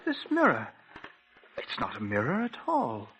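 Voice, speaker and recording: A man's voice: mid-range voice, wildly swooping pitch, unhurried, fairly bright tone, a little hiss or hum, exaggerated voice, poor audio. A man playing a nervous, polite young curate in a 1940s radio drama, earnest and a little stammering. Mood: shocked. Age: young adult.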